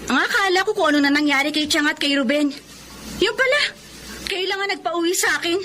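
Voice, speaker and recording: An actress playing a resentful, hot-tempered young daughter in an emotional radio drama. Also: female voice, very high-pitched, lively intonation, a little fast, music in the background. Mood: concerned, annoyed. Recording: clear background noise.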